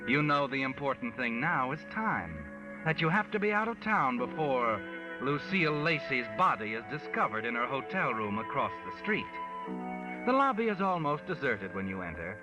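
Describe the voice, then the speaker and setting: A man's voice: medium pitch, big pitch swings, moderate pace, highs cut off, natural voice. A man playing a sinister, mocking narrator of a 1940s American radio mystery, telling the tale with knowing menace. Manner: knowing, mocking second-person storytelling. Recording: vintage 1940s radio, distant, music behind.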